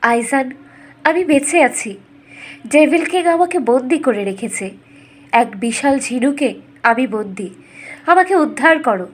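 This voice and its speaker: Woman as a plaintive, high-voiced captive princess. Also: lively intonation, a little slow, pauses often. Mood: pleading. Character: captive loving princess pleading for rescue. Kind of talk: storybook narration with acted dialogue.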